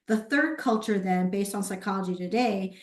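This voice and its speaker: A woman's voice: mid-range voice, normal speed. A woman presenting at a webinar, explaining things step by step and posing rhetorical questions. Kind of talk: explanatory lecture with brief acknowledgements of participants. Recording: roomy, echoey sound.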